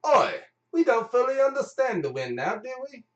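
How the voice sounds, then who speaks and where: A man's voice: very high voice, sing-song, normal speed, a bit bright, highs cut off, voice pushed up, full character voice. A man voicing a fussy chimp with an English accent in an amateur comic radio play. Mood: argumentative. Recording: roomy, mic at a distance.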